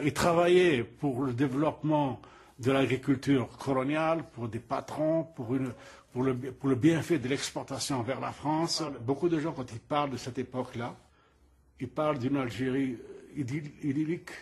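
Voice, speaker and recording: A man in his seventies from Algeria, an artist recalling the past in halting speech that often repeats words. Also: medium pitch, a little mellow, slightly rough. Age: 70s. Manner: halting, repeats words while searching for them. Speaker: interviewed artist. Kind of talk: casual recollection in a news report.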